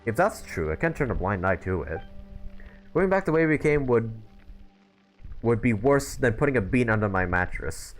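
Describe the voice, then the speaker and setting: A man's voice: medium pitch, sing-song, moderate pace, faint music behind, natural voice. A man voicing a pampered, grumbling researcher in a video game, fussy and easily flustered. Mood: flustered, eager. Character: pampered, grumbling male scholar, fussy and nervous.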